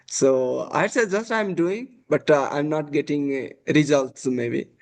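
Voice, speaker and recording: A young man, hosting a casual English practice chat over a video call and speaking haltingly with many fillers. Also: on the high side. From Nepal. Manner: hesitant, many fillers and restarts. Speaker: host.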